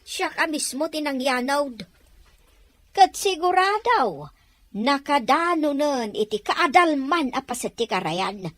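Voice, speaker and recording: A woman's voice: high-pitched, lively intonation, takes it slowly, fairly bright tone, no room sound, exaggerated voice. A woman playing an obliging, deferential housemaid who schemes with her madam in an audio drama. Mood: conspiring. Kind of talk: dramatized audio story acting.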